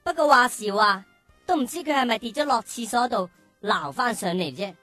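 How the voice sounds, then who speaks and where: A woman's voice: a little high, animated, fairly quick, pushed and bright, no room sound, soft background music. A woman voicing a mocking, boastful, feisty young calf with a childish, teasing way of talking in a children's radio drama.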